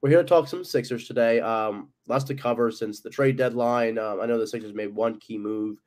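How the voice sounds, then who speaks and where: A man's voice: mid-range voice, fairly quick, darkish tone. A young man with a stuffy, cold-clogged voice, hosting a casual sports podcast and rambling with lots of ums and likes. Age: young adult. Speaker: podcast host.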